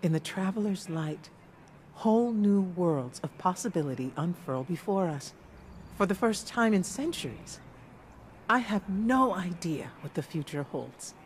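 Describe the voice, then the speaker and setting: An actress playing a calm, scholarly woman mentor in a video game, speaking in a measured, formal, thoughtful way. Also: lowish voice, slight background noise. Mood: reflective, wondering. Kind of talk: video game character dialogue.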